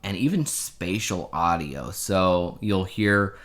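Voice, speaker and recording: A man's voice: low-pitched, lively intonation, takes it slowly, fairly bright tone. A man co-hosting a casual chat show, explaining his opinions in a conversational way. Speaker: podcast co-host.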